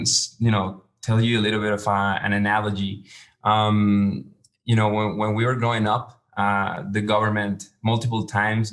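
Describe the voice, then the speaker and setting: Low flat-voiced man. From Venezuela. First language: Spanish. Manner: measured, explanatory, hesitant. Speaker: podcast guest, startup founder. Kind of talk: interview answers.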